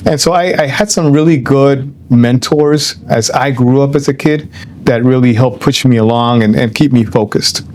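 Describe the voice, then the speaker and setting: A man's voice: medium pitch, normal speed, darkish tone, a little hiss or hum. Ecuadorian-born man telling his story. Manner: reflective, many fillers and restarts, mixes in Spanish phrases. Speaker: guest. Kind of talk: interview.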